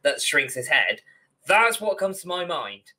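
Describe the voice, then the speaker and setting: Young male podcast co-host, slightly high and sharp-toned, opinionated, emphatic, mildly sweary. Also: very animated, unhurried, husky. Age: young adult. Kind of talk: casual opinionated discussion. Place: indoor room, video call.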